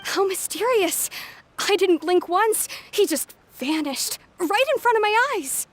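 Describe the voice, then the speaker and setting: A woman playing an amazed, excitable audience member in a video game. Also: extremely high pitch, a little slow, no room sound.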